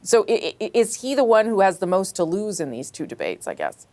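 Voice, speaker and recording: A woman with a Canadian accent moderating a political panel, brisk and quick to laugh, cutting in to steer the talk. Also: mid-range voice, wildly swooping pitch, darkish tone. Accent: Canadian English. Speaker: host.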